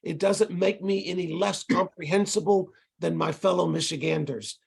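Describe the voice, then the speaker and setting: Gravelly middle-aged man with a Texas accent. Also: high voice, normal speed. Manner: relaxed, conversational explaining with frequent ums and restarts. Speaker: ESL instructor presenting to tutors. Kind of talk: online lecture over video call. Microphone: video call.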